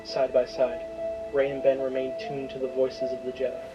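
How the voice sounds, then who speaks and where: A man narrates a dramatic scripted tale at a medium pitch. His voice sits under clear music, and the recording is slightly dull and lo-fi. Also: completely flat delivery, moderate pace. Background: prominent music.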